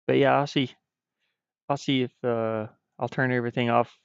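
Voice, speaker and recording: A man chatting casually on a livestream as a game developer, speaking in a halting way and often trailing off. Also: fairly even intonation, creaky, smooth, no room sound. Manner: hesitant, halting, frequently trailing off. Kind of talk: casual stream chat. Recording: muffled, dull low-fidelity stream audio.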